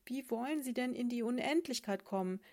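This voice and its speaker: A woman's voice: on the high side, a little fast, a little mellow, voice pushed up, close to an everyday voice. A woman voicing a pompous, pedantic male sponge who lectures everyone in a fairy tale. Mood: lecturing. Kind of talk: storybook reading.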